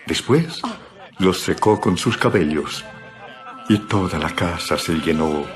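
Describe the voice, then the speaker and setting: Male narrator, low voice, scripted Bible storytelling, soft background music. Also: takes it slowly.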